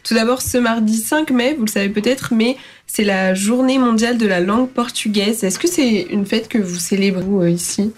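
A woman's voice: a little high, normal speed, few pauses, slight background noise. A woman hosting a radio show, chatting and reading out a schedule of events with small fillers. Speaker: radio host.

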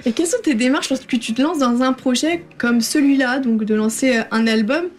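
A woman's voice: high-pitched, a little fast. A woman interviewing a rapper, asking friendly questions and summing up his answers. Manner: friendly, prompting, sums up answers.

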